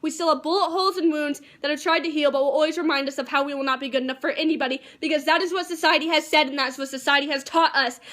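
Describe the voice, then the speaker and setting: Fast, high-voiced teenage girl reciting slam poetry. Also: steady tone, a bit bright. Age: teens. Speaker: amateur slam poet.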